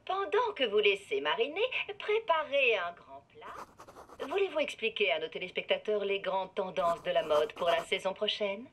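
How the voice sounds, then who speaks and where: A woman's voice: medium pitch, sing-song, moderate pace, background noise, close to an everyday voice. A woman playing a polished television lifestyle presenter in a children's cartoon. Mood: polite, presenting. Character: polished female television cookery and fashion presenter.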